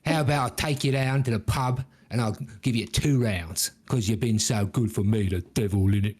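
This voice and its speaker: A man voicing a smug, gruff devil with an English accent, slangy and foul-mouthed, in a comic told story. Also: lowish voice, a little mellow, close to an everyday voice. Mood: genial. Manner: slangy, swearing, drawn-out taunts and tag questions.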